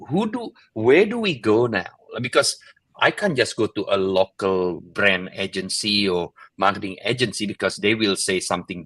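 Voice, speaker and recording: A man who is not a native English speaker, hosting an interview in a casual, halting way. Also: mid-range voice, bright, forceful tone, dry recording. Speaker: podcast host.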